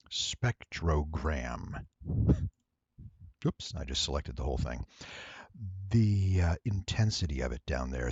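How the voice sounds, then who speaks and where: A man giving a casual, unscripted software tutorial in a deep, husky voice, with wry, playful asides. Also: dry recording, lo-fi sound.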